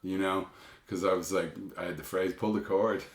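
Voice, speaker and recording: A man in his fifties with a Dublin Irish accent and a big, loud voice, chatting as a guest in an animated, rambling way. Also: low voice, normal speed, reverberant. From Dublin, Ireland. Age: 50s. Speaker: guest musician. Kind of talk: casual chat.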